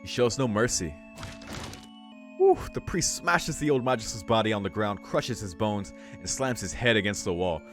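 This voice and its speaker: A man reading a visual novel's story aloud for a let's play, breaking into casual, excitable reactions with some swearing. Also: on the low side, moderate pace. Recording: music behind the voice.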